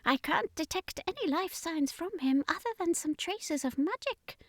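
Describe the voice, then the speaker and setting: A woman voicing a deferential octopus alien underling in an audiobook, hushed and dutiful. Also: very high-pitched, lively intonation, normal speed, no echo, clean studio sound, voice pushed up. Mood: uneasy, dutiful.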